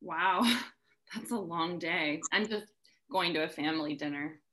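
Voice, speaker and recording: A woman's voice: fairly low pitch, unhurried, a bit bright. A young actress playing a lonely, vulnerable woman estranged from her family, read over a video call. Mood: subdued, awkward. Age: young adult. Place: room at home, indoors. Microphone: laptop mic on video call.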